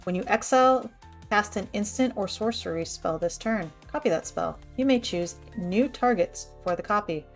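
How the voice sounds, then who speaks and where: Female co-host at home, mid-pitched, offhand and blunt, swears casually while reading cards, faint music. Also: lively intonation, moderate pace. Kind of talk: casual chat while reading card text aloud.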